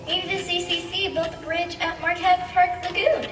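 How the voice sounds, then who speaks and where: A woman's voice: very high-pitched, level tone, normal speed. A woman playing a curious, eager kid who loves learning, in an amateur radio play. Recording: echoey, distant mic, loud music.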